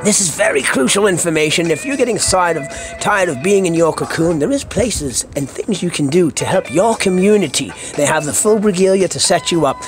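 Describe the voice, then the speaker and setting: A man's voice: sits high, lively intonation, fairly quick. A Scottish man hosting a comic monologue, speaking in a theatrical, foul-mouthed way full of colourful slang. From Scotland. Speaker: podcast host. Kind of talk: comic monologue rant to listeners. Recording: music under the voice. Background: music.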